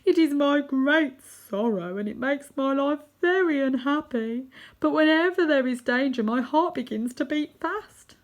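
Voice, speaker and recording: A big timid lion from a children's storybook, acted out by a woman reader. The voice is loud and pitched up, with a plaintive, self-pitying tone. Every phrase comes out mournful and emphatic. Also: expressive, a little slow. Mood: mournful, confessing. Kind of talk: children's storybook character reading.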